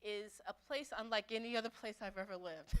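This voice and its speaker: A middle-aged woman from Brooklyn, a pastor telling her own story to an adult forum in a conversational, reflective way, often pausing and restarting. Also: mid-range voice, fairly even intonation, clear, resonant tone. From Brooklyn, New York City. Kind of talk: personal testimony talk. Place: church meeting room.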